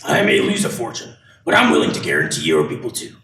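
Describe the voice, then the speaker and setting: A man in a radio play acting a scheming, menacing old banker with a creaky voice and false concern. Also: very deep voice, huge pitch swings, quick pace, a bit bright, distant mic, heavily acted. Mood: smug, oily. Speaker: actor in a youth group radio play. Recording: echoey room, off mic, faint noise.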